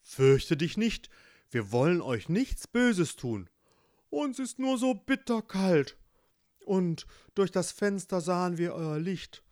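A man voicing a hungry, freezing, gentle male bear in a spoken fairy tale, polite and pleading. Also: high-pitched, big pitch swings, takes it slowly, no echo, clean studio sound, voice pushed up, heavily acted.

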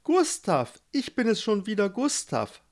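A man's voice: sits high, unhurried, pitched above the performer's own voice, heavily acted. A man voicing a young, unlucky prince who whines and pities himself. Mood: eager. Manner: complaining, excitable. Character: young unlucky prince, whiny and self-pitying.

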